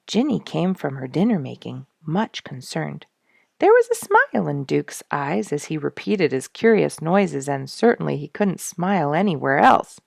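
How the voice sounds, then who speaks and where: Woman, children's story narrator, low pitch, very lively intonation, creaky edge, deliberate delivery. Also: no room sound, clean studio sound. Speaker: storyteller reading a children's book aloud. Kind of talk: expressive scripted narration.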